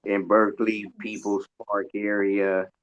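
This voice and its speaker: A man in casual chat on a radio show, halting as he searches for words, over a dull lo-fi online connection. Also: fairly low pitch, completely flat delivery.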